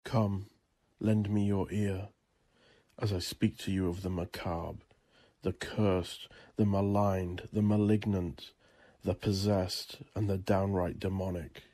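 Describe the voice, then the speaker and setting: A man narrating dark horror fiction in an ominous, deliberate, theatrical manner. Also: low-pitched, little pitch movement. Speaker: narrator of a horror audiobook drama. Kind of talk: dramatic gothic horror narration.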